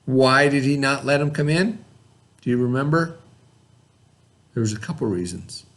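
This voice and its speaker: A man leads a children's read-aloud lesson in a mid-pitched voice. He talks patiently and plainly, stopping to ask the kids questions and give them time to think. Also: expressive, moderate pace, fairly bright tone. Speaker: teacher.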